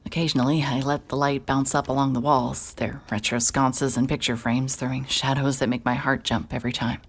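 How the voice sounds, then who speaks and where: Very low-voiced woman narrating horror. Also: level tone, a little fast, breathy edge. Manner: tense, breathless, with sudden shouts and hushed whispers. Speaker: narrator and lead character. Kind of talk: first-person horror audio drama narration.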